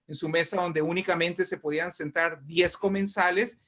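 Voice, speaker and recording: A man's voice: fairly high pitch, fairly even intonation, moderate pace, a bit bright. A man speaking as a guest writer and poet in a conversational interview, telling literary anecdotes in an effusive, emphatic way and often repeating words.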